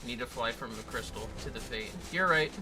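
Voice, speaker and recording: A man's voice: mid-range voice, brisk, low-quality recording. A man hosting a gaming livestream, chatting casually and playfully as he guides another player along. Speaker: livestream host. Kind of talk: casual gameplay chat and guidance. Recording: loud game audio behind voice. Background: loud game music and effects.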